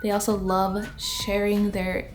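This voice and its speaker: A woman's voice: medium pitch, monotone, unhurried. A young woman vlogging casually in a bubbly, playful way, her voice a bit stuffy from a cold. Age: young adult. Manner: bubbly and playful, laughs often. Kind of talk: casual vlog chat. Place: living room, then post office. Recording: roomy sound with music behind.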